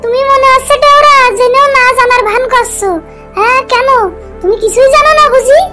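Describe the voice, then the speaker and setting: A woman voicing an aggrieved, pleading young village wife in a comedy cartoon, with a pitched-up voice. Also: a little fast, bright, forceful tone, faint music behind. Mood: accusing. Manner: plaintive, insistent.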